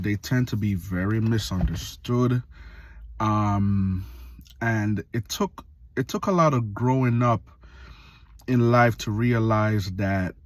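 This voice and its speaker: A man in his late thirties, from Jamaica, giving a reflective casual monologue for his video channel, slow and full of pauses and fillers. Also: on the low side, no echo. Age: 30s. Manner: slow, pausing often with fillers. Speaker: vlogger.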